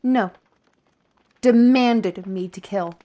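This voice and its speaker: An actress playing a weary, irritable fantasy artisan in an audio roleplay, speaking in an exasperated, deliberate way with sighs. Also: female voice, fairly high pitch, dark tone. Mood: exasperated, wary. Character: weary, irritable female fantasy artisan under council watch.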